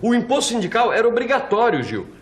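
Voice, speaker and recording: Man, high voice, very lively intonation, Brazilian accent, conversational scripted explainer. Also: quick pace.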